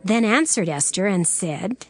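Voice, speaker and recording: A woman's voice: medium pitch, expressive, measured pace. A woman narrator reading dramatized scripture in a scripted storytelling style.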